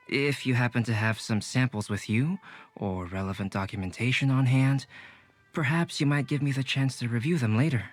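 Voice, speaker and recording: An actor playing a calm, modest young male alchemist in a game cutscene, courteous and measured. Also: mid-range voice, close to an everyday voice.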